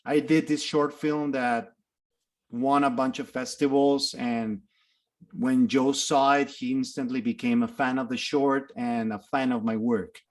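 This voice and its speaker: A man's voice: medium pitch, a little slow. A middle-aged man from Mexico with a Mexican Spanish accent, Spanish his first language, telling stories as a guest in a casual interview. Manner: animated storyteller with frequent restarts and laughs. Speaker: guest actor.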